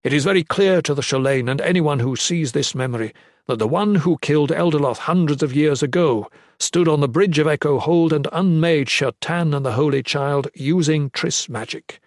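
A man reading the part of a stern, earnest fantasy mage in a formal, deliberate manner. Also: a little high, no room sound, clean studio sound, pitched above the performer's own voice, close to an everyday voice. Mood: solemn, firm. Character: stern, earnest male mage, pleading and warning. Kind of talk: fantasy audiobook character reading.

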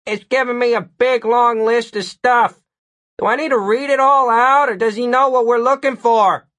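A man's voice: extremely high pitch, fairly even intonation, moderate pace, a bit bright, voice pushed up. A man voicing an obedient, puzzled male junior agent who calls out questions as if from afar in a comic story. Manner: calling out, querying.

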